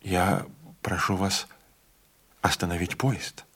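A man voicing an impatient young student passenger who talks insistently and quickly. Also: deep voice, steady tone, fairly bright tone, slightly rough, heavily acted.

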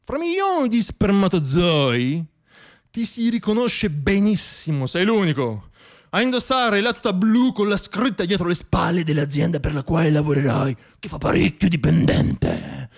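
A man in his thirties performing a comic theatrical monologue, shifting between hushed asides and declamation. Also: high voice, big pitch swings, normal speed, gravelly. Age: 30s. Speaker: stage monologist.

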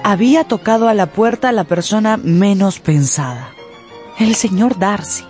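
A woman narrating a literary radio drama in an expressive, deliberate storytelling style. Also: mid-range voice, faint music behind.

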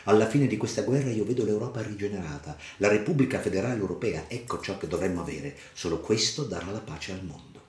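A man voicing a revolutionary leader in a radio play, declamatory and deliberate. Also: lowish voice, lively intonation, darkish tone, lightly acted. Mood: visionary, resolute. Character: impassioned male revolutionary leader making political declarations. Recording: reverberant voice over music.

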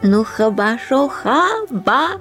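An actress playing a sweet, confused elderly wife in hospital, with gleeful, childish, slightly babyish speech in a radio drama. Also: female voice, on the high side, big pitch swings, takes it slowly, fairly bright tone, faint music behind, exaggerated voice. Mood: playful.

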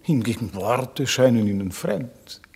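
A man's voice: mid-range voice, lively intonation, unhurried, vocal fry, darkish tone, breathy edge, exaggerated voice. An actor playing a weary shipwrecked king, solemn and declamatory, in a classic radio play. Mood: awed.

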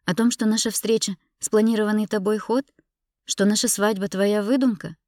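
A woman's voice: on the low side, normal speed, a little mellow, no room sound, studio quality. A woman narrator playing a hurt, bitter young woman confronting her husband in an audiobook drama, emotional and indignant. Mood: angry, demanding.

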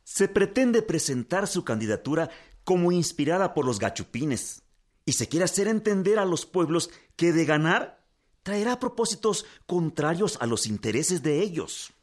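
Higher-voiced Mexican henchman. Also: sing-song, moderate pace. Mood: earnest, deferential. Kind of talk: radio drama acting.

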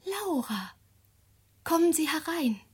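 A woman playing a frail, mysterious young lady in a German radio drama, speaking in a breathy, languid whisper. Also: high voice, sing-song, slow, full character voice. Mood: secretive.

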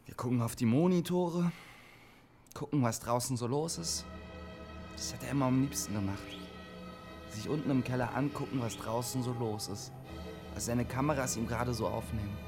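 An actor playing a lonely, troubled young radio operator in a German radio drama, terse and brooding. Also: male voice, medium pitch, normal speed, long pauses, over music, natural voice. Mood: subdued narrating. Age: young adult. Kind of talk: German radio drama acting, intimate narration and dialogue.

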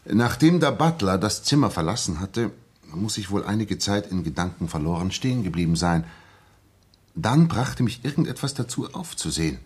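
A shaken ex-soldier in a sixties radio play. His husky, fairly low voice moves widely in pitch as he narrates earnestly and haltingly. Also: sing-song, heavily acted. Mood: earnest, suspenseful. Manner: earnest, hesitant, repeating words when agitated. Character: earnest, shaken young English ex-soldier telling his story. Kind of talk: 1960s German radio drama acting, dramatic narration.

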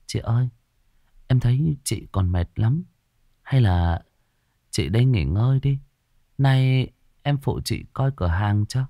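A man's voice: mid-range voice, lively intonation, takes it slowly, long pauses, mellow, no room sound, studio quality. A man voicing a timid, anxious young woman shop assistant in a horror story, hesitant and polite. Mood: gentle, soothing.

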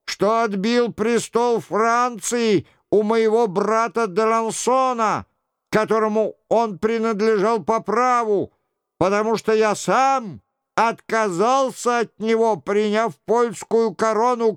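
Мужчина-чтец играет насмешливого шута, который жалобно, нараспев изображает кающегося короля. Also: male voice, clean tone, no echo, clean studio sound. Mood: mock-penitent. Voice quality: plaintive, high-pitched. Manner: drawn-out, exaggerated penitence. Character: mocking jester imitating the king in plaintive mock confession.

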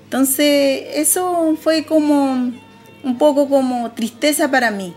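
High-pitched woman, halting and reflective. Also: a little mellow, soft background music. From Andean highlands of northern Chile. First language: Aymara. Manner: halting, reflective, searching for words. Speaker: interviewee. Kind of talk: personal testimony on a radio program.

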